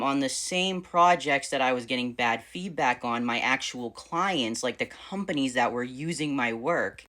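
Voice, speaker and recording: A man in his thirties with a fairly high, bright voice that has a crisp edge. He talks to camera in a casual, bubbly way. His storytelling is animated and lively. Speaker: vlogger answering viewer questions.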